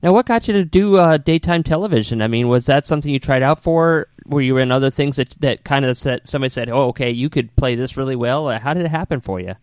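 A man hosts a casual radio interview. His voice is of average pitch and he rambles conversationally through his questions and remarks. The recording is dry and free of echo. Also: fast, few pauses, darkish tone, smooth.

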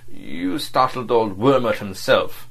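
A cynical, mocking diplomat sneers and needles his visitor, as a male narrator puts him on. The voice sits noticeably higher than the reader's own, clipped and sharp. It is a spoken storybook reading. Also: expressive, slow pace, gravelly, heavily acted. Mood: sneering.

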